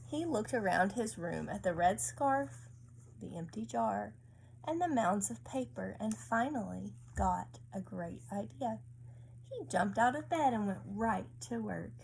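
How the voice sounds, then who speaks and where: A woman's voice: mid-range voice, vocal fry, darkish tone, rough recording. A woman reading a picture book aloud to a child, often stopping to ask coaxing little questions. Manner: asks coaxing tag questions of a child listener. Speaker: storybook reader. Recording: faint background room noise.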